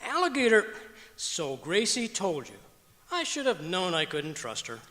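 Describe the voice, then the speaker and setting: Man acting grumbling radio husband, highish, echoing hall. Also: wildly swooping pitch, unhurried, pushed and bright, exaggerated voice. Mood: sarcastic grumbling. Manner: sardonic, punchline delivery. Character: Harry, grumbling sarcastic husband. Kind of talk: old-time radio comedy acting on stage. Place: stage of a performing arts auditorium. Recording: echoey auditorium with long reverb.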